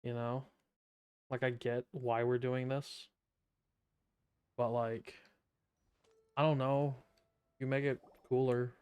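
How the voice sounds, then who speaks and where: A woman's voice: a bass voice, flat delivery, slow, pauses often, a little mellow, clean tone. A woman streaming a video game, making casual sarcastic comments over it. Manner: sarcastic, joking asides to the game.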